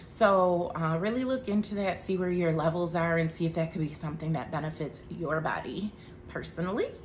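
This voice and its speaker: A woman's voice: on the low side, brisk, a little hiss or hum. A woman in her thirties giving a casual health talk to camera, chatty, with joking asides. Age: 30s. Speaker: vlogger.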